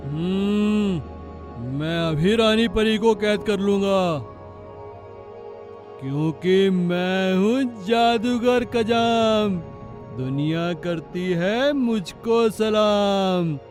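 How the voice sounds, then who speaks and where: A man voicing a boastful, menacing evil wizard in a children's cartoon, with slow, drawn-out chanting boasts. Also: high voice, darkish tone, soft background music. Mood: gloating, menacing. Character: boastful evil male wizard, menacing villain who laughs. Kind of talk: Hindi children's cartoon story acting.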